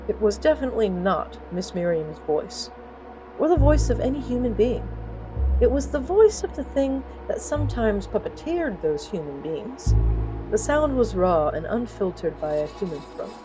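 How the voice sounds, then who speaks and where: A woman's voice: medium pitch, lively intonation, moderate pace, music in the background, low-quality recording. Wry woman narrating a fiction podcast. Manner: wry, playful asides to the listener. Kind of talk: theatrical scripted storytelling.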